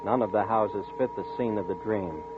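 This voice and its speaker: A man's voice: on the low side, normal speed, over music, close to an everyday voice. Troubled young man, played by a man. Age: 20s. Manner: tense, troubled, insistent narration. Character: anxious young man haunted by a dream. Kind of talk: 1940s radio drama acting. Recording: tinny old radio broadcast with faint hiss.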